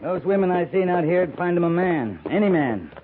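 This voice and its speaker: An actor playing a firm, plain-spoken cavalry captain, stern but compassionate, terse and measured in a 1950s radio Western. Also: male voice, sits high, animated. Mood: reflective, plain. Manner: terse, measured, matter-of-fact. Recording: noisy, narrow-band 1950s radio broadcast.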